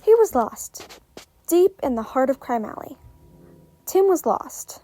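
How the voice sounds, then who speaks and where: बहुत ऊँची आवाज़ में कहानी सुनाती महिला. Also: female voice, high voice, very animated, slow, mellow. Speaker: fan fiction narrator. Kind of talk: expressive story narration.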